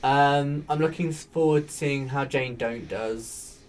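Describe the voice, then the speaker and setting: A man hosting a casual podcast chat, rambling with plenty of fillers in a soft, soothing voice. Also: medium pitch, measured pace. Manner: rambling, chatty, with many fillers. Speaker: podcast host. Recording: faint background noise.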